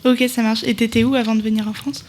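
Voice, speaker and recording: Young woman hosting, casual and brisk. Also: on the high side, flat delivery, a little mellow. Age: young adult. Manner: casual, brisk, laughs along. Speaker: host. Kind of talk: live student radio interview.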